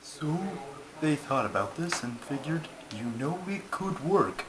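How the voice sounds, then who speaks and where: A man's voice: fairly high pitch, big pitch swings, measured pace. A man voicing a pompous, schoolmasterly turtle puppet who tells stories in a ponderous, formal, easily put-out way. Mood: measured storytelling. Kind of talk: comic scripted storytelling. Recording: noisy amateur home recording.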